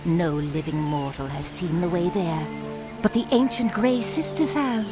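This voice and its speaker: Woman playing slow-spoken, imperious sorceress. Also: lowish voice, over music, natural voice. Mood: ominous. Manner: imperious, theatrical, measured. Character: haughty, ominous sorceress of an ancient wood. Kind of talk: video game cutscene acting.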